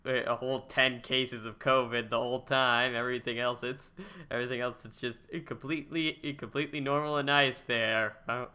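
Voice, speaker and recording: A man's voice: mid-range voice, level tone, brisk, few pauses, sharp tone, smooth. A young man in his twenties hosting a casual podcast, rambling and laughing as he reads viewer questions. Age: 20s. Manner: rambling, laughs often, trails off and restarts. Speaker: podcast host. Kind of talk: casual chat reading live chat.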